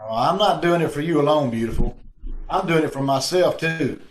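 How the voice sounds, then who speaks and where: Man playing cocky high-pitched radio sleuth, echoey. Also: brisk, lightly acted. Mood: calm, confident. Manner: wisecracking, self-assured. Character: cocky, tough male amateur sleuth, quick to act. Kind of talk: 1940s radio drama acting. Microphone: video call. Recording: echoey online-call recording with background noise.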